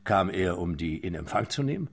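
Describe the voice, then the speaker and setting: Husky, insistent police detective. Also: male voice, low-pitched, animated, brisk, full character voice. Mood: probing, insistent. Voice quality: husky, rough. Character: probing, insistent male police detective interrogator. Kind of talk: German radio crime drama acting.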